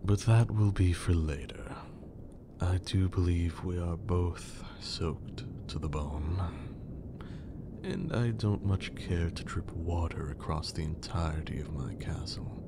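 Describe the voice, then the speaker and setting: A man playing a haughty, menacing vampire lord in an ASMR roleplay, with condescending, theatrical speech and a breathy, creaky voice. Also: deep voice, heavy background noise. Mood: dry, condescending. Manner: slow, condescending, theatrical, archaic wording.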